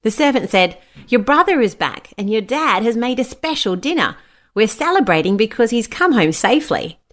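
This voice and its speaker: A woman's voice: on the high side, sing-song, moderate pace, a bit bright. A woman narrating a scripted children's Bible story and acting out the quoted speech. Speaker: storyteller, a mother.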